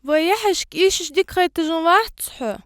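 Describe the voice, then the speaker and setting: A woman voicing a young, chatty child in a dubbed animated family cartoon. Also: very high voice, a little fast, no echo, clean studio sound. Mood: calling, concerned. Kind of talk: cartoon dubbing.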